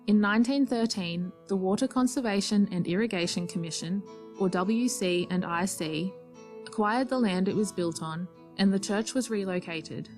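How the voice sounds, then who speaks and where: A woman's voice: mid-range voice, normal speed, darkish tone, soft background music. A woman reading scripted historical narration for a radio play.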